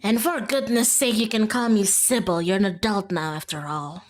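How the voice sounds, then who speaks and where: A woman voicing a kindly, hospitable old small-town woman in a visual novel, gracious, gentle and folksy. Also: mid-range voice, moderate pace, lightly acted. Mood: welcoming.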